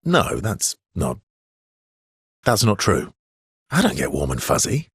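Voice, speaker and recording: A man voicing a wry, guarded adventurer with a soft heart in a video game, gravelly and halting, with a teasing way of talking. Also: on the low side, huge pitch swings, no room sound, studio quality, full character voice. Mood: teasing, defensive. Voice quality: husky, gravelly. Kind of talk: naturalistic game dialogue acting.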